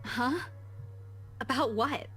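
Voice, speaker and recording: A grieving young woman tries to keep composed in a visual novel. The voice is high and halting, vulnerable, with soft music behind it. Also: breathy edge, heavily acted. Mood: dazed.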